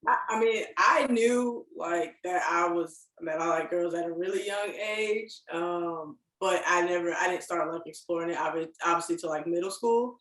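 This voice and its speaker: A woman in her thirties, a podcast guest, talking in a relaxed and chatty way. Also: on the low side, normal speed, hardly any pauses, roomy sound, rough recording. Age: 30s. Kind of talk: casual chat.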